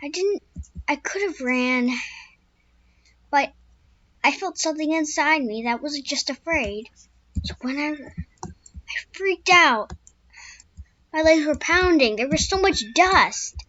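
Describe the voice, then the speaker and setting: Woman playing a squeaky young wild stallion, skittish loner, high lively voice, unhurried telling, dull dry lo-fi sound. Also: no room sound, full character voice. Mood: wistful. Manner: first-person storytelling with restarts, turning frantic when scared. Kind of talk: amateur spoken story.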